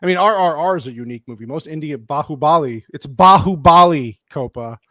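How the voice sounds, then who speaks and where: A man's voice: on the high side, lively intonation, a little fast, pushed and bright, no room sound. A man hosting a casual panel podcast who jokes, swears and laughs often. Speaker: podcast host.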